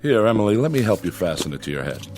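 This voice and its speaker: A man's voice: lowish voice, big pitch swings, rapid-fire, noisy background. A man acting in a radio drama as a greedy middle-aged father, pompous and fawning, curt with his family. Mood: impatient, forced cheer.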